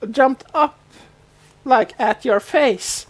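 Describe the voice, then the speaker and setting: A woman with a fairly high voice hosts a casual recap podcast. She retells scenes in a loose, chatty way, slipping into quoted dialogue as she goes. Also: extremely slow, rough recording. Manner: animated retelling, acting out bits of dialogue. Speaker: podcast co-host.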